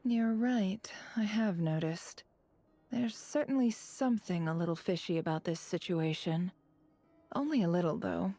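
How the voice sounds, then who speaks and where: Cool woman spymaster, dark mellow tone, breathy, measured, knowing, video game, soft music behind. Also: on the low side. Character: composed, shrewd woman who brokers intelligence.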